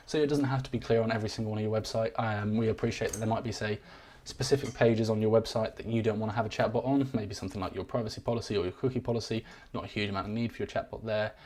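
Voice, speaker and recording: A man giving a live-streamed software walkthrough, rambling and self-deprecating, his voice wearing thin. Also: on the low side. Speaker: software demo presenter.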